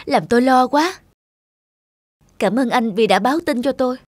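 A woman's voice: on the high side, normal speed, no echo, natural voice. A woman playing an anxious, earnest, grateful adult granddaughter in cartoon dialogue.

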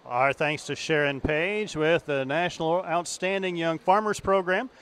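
A man's voice: fairly high pitch, a little slow. A middle-aged male farm broadcaster interviewing a guest on a handheld mic in an exhibition hall, with frequent fillers. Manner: conversational with fillers. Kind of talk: field interview.

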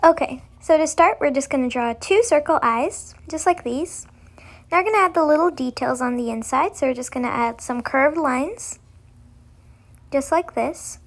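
A woman's voice: high-pitched, normal speed. A young girl narrating a step-by-step drawing tutorial in a casual, simple way. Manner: simple, informal instruction with frequent "like".

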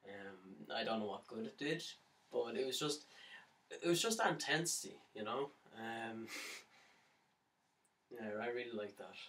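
A man performing a dramatic monologue as a lovesick, despairing young man, halting and stammering, on the edge of mania. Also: medium pitch, expressive, a bit bright. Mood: wounded, wistful. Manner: halting, stammering, nervous laughs breaking into anguished outbursts. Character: lovesick, despairing, manic young man pleading his case. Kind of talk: dramatic monologue for an audition tape. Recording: roomy pickup, distant from the mic.

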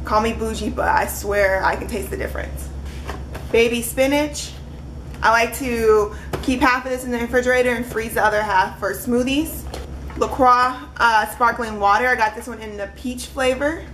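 A woman's voice: fairly high pitch, normal speed, a bit bright, slight background noise, rough recording. A young woman in her twenties chatting casually in a home kitchen, holding up groceries one by one for a webcam vlog. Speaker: vlogger.

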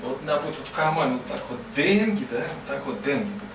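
A man's voice: mid-range voice, lively intonation, fast, lightly acted. A man voicing old, bearded Confucius as a folksy sage, rambling and asking "right?" after every phrase. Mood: boastful, teasing. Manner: rambling, repeats "да?" and "вот" constantly. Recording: roomy, distant mic, ambient noise.

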